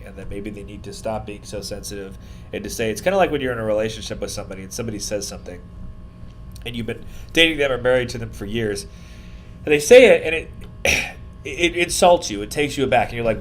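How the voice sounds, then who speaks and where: A man co-hosting a casual panel discussion, speaking in an informal, rambling, conversational way. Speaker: podcast co-host. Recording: noisy room recording with low rumble.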